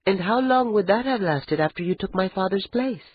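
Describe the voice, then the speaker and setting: A man voicing Ida'an, an anguished young noblewoman who is sharp and bitter, speaking in a high, strained voice. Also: lively intonation, moderate pace, a little hiss or hum. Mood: accusing. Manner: high strained pleading, cutting sarcasm. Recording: dull lo-fi recording.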